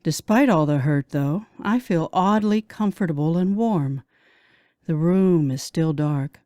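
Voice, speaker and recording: A woman reading a first-person romance audiobook as a narrator, in a dramatic, expressive manner. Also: low-pitched, measured pace, no echo, clean studio sound.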